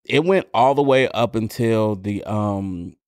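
A man co-hosting a casual podcast, telling stories in an animated way. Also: fairly low pitch, unhurried, no room sound, clean studio sound. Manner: animated storyteller. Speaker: podcast co-host. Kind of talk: casual chat.

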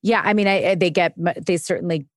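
A woman's voice: fairly low pitch, quick pace, no room sound, clean studio sound. A woman hosting an interview podcast, asking questions and commenting in a casual, chatty way. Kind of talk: conversational interview.

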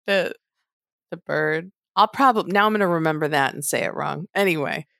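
A woman with a notably deep voice chatting casually as a podcast co-host. She drifts through asides and fillers, often trailing off mid-thought, and the recording is close and dry. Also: brisk, creaky, fairly bright tone, no room sound. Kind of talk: casual recap chat.

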